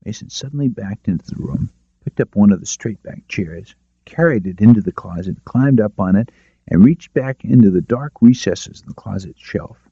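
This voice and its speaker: A man's voice: fairly low pitch, animated, moderate pace, mellow, husky, dry recording, lo-fi sound. A man reading a detective novel aloud as a scripted audiobook narration.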